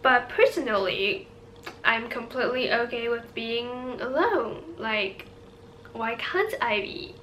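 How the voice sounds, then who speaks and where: Young female vlogger, Hong Kong accent, Cantonese native, highish voice, chatty and halting, indoor camera sound with faint noise. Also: a bit bright, rough recording. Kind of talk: casual chit-chat to camera.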